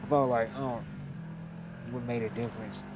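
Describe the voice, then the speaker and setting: A man's voice: mid-range voice, steady tone, moderate pace, background noise. A young man streaming a game, chatting casually, rambling, talking to himself, and swearing often. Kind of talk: casual gaming stream chat.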